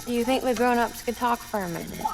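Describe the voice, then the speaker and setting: A woman playing a weary, gentle young scientist mother in a cinematic video game, conversational and low-key with a dry confidence. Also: fast talker, creaky, noisy background. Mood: gentle, calm. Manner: conversational, low-key, explains technical matters. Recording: game music behind the voice.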